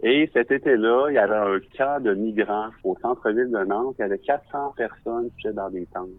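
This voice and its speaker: A man with a Québécois accent and a fairly low voice speaks in a reflective, measured way, pausing often to find his words. He is a visual artist telling his story for a podcast. The sound is narrow and phone-like, dry and close, with no room around it. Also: no echo. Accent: Québécois French. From Quebec.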